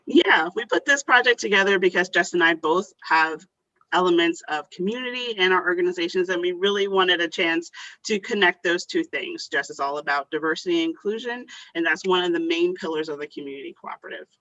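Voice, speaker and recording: A woman with a bright, forward voice welcomes an online theatre audience as host. The sound is dry and slightly muffled. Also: on the low side, brisk, no room sound. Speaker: host, arts organization director.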